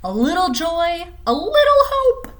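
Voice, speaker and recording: A woman voicing a squirmy, slightly impolite female squid, in a cheeky, teasing, high voice. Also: big pitch swings, unhurried, exaggerated voice.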